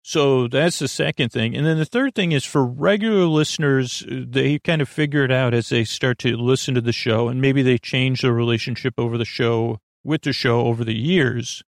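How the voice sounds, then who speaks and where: A man hosting a sleep podcast in an unscripted, meandering monologue, halting, repeating himself and trailing off mid-thought. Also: mid-range voice, dry recording, studio quality. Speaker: podcast host.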